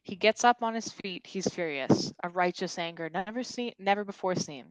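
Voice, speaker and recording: A woman's voice: lowish voice, moderate pace, clear, resonant tone, no room sound, low-quality recording. A woman narrating action and stage directions from a script at a virtual table read.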